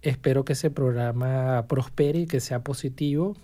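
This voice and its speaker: A university language professor, interviewed as a guest, explaining at length in measured sentences with frequent restarts. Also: medium pitch, mellow. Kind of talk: casual interview chat.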